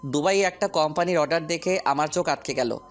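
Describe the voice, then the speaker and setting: A man's voice: fairly high pitch, quick pace, faint music behind, lightly acted. A man playing a determined businessman hero in an audio story, speaking firmly and with purpose. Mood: purposeful. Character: determined businessman hero, commanding boss, loyal friend.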